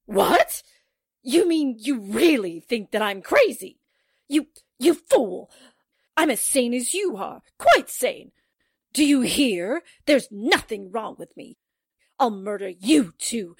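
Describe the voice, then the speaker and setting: Vengeful, volatile murderess played by a woman for period radio drama; higher voice, unhurried, theatrical delivery. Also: big pitch swings, creaky, slightly rough, no echo, clean studio sound, exaggerated voice. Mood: enraged, threatening. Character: unhinged, vengeful murderess, a married woman feigning insanity. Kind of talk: 1940s radio drama acting.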